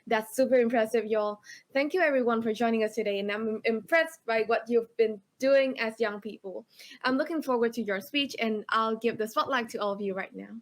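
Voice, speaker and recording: A woman with a fairly high voice hosts a conference. She presents the speakers formally and politely, moving through prepared introductions in an orderly way. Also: brisk. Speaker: conference host.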